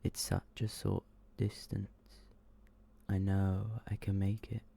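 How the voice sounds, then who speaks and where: A soft-spoken man reading short horror stories as ASMR narration, often slipping toward a whisper. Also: on the low side, completely flat delivery, slow, creaky, slightly rough.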